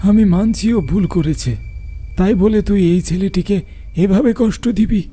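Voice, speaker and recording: A man's voice: sits high, a little slow, mellow, slightly rough. A man voicing an urgent, commanding village exorcist cleric in a horror cartoon. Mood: stern, demanding. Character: stern male village exorcist cleric confronting spirits.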